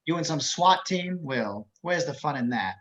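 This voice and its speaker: A man playing a cultured, menacing killer psychiatrist in a dramatic table read, measured and taunting, with theatrical pauses. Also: a little high, lively intonation, fairly bright tone. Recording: dull lo-fi video call.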